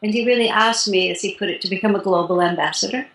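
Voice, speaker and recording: Older lady, spiritual teacher, easy unhurried talk, roomy sound. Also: mid-range voice. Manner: calm, unhurried explaining with light laughs. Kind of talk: interview guest. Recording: roomy echo.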